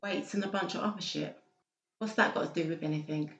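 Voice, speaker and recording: A woman's voice: on the low side, fairly quick, natural voice. A woman playing a blunt, sceptical friend who questions everything, in a dramatised audiobook. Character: Sam, blunt, sceptical female friend and fellow escapee. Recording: roomy, slightly dull sound.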